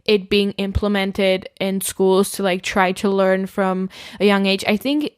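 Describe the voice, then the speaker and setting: A woman's voice: medium pitch, steady tone, a little slow, clean tone, dry recording. Hesitant young Czech woman, dry sound. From Czech Republic. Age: young adult. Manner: hesitant, lots of "like" and "I don't know". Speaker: podcast co-host. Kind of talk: casual chat.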